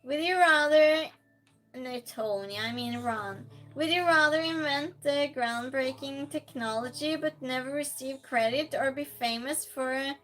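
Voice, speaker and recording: Slow-talking Norwegian woman streamer, high voice. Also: a bit bright, rough recording. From Norway. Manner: chatty, reads out chat messages and asks would-you-rather questions. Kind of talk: casual chat reading viewer comments.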